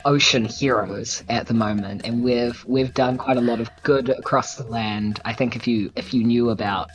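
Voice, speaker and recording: A woman's voice: a bass voice, steady tone, moderate pace, poor audio. A woman with a New Zealand accent playing a tabletop game, improvising casual, argumentative banter in character. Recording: light music under the voice.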